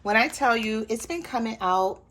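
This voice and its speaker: A woman with a hoarse voice giving a chatty tarot reading straight to her viewers. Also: fairly high pitch, moderate pace, a little hiss or hum. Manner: relaxed, chatty, addresses viewers directly. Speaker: tarot reader.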